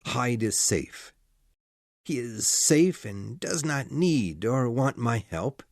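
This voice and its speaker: Guy doing a pale nervous doctor, thin weak voice, slow and swoopy, dry close sound. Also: mid-range voice, lively intonation, darkish tone, no echo. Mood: agitated. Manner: insistent, anxious pleading. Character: pale shaken middle-aged male doctor, nervous. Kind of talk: audiobook dialogue.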